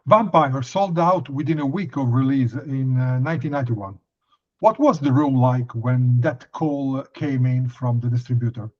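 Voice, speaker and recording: A man interviewer putting prepared questions in a measured, slightly halting way. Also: medium pitch, muffled recording.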